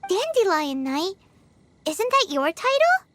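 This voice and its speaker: Very high-pitched little floating sidekick, bouncy sing-song delivery, bubbly and blunt, game dialogue. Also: female voice, big pitch swings, takes it slowly, fairly bright tone, exaggerated voice. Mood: chirpy. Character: tiny floating female companion, chirpy and nosy.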